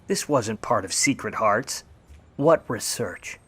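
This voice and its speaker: A man's voice: fairly low pitch, animated, slow, fairly bright tone, breathy edge, exaggerated voice. A man acting a wry, grudging 1950s Hollywood private eye in a noir radio play, with slangy, sardonic, clipped tough-guy delivery. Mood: probing.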